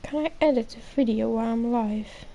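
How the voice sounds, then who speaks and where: A woman's voice: fairly high pitch, brisk, mellow, slight background noise. A woman streaming a video game live, casually reacting and chatting in short remarks. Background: game music.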